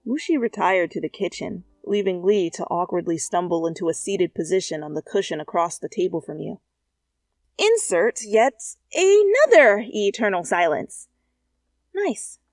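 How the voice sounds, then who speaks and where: A woman narrating a second-person fan fiction story in a playful, conversational way, with animated asides. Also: medium pitch, normal speed, no room sound, faint music behind. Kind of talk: expressive second-person story narration, ASMR fanfic reading.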